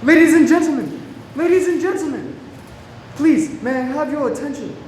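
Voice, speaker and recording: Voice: high-pitched, moderate pace, darkish tone, natural voice. An actor playing an earnest, anxious Scotland Yard agent in a radio play. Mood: alarmed, pleading. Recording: very echoey room, clearly noisy.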